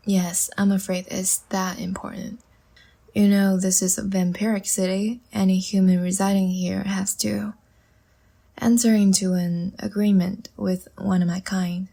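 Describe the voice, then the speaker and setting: Slow, breathy, seductive vampire woman played by an actress. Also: mid-range voice, flat delivery. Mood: measured, persuasive. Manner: soft, coaxing, slow and teasing, often breathy near-whisper. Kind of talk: intimate roleplay audio drama.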